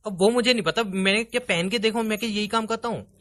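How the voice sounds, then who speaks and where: A man's voice: high voice, fast, sharp tone. A young man playing a pleading, evasive boyfriend in a phone-call skit, protesting and whining. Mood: flustered, evasive. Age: young adult.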